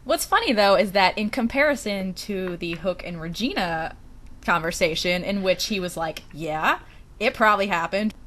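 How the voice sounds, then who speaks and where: A woman's voice: medium pitch, animated, moderate pace, fairly bright tone. Woman hosting a casual podcast. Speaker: podcast host. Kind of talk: casual group podcast chat.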